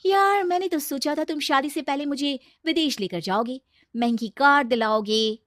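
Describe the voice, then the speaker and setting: Woman acting high, coaxing, greedy girlfriend. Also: normal speed, fairly bright tone, no echo, studio quality. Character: greedy, coquettish young girlfriend wanting luxury.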